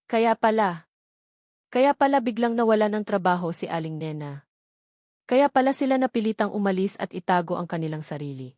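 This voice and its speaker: A woman's voice: medium pitch, expressive, moderate pace, darkish tone, no echo, natural voice. A proud young mother, frightened but firm, read by a woman narrator in a romantic drama story. Her manner is shaky and defiant, recorded dry. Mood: hurt, angry.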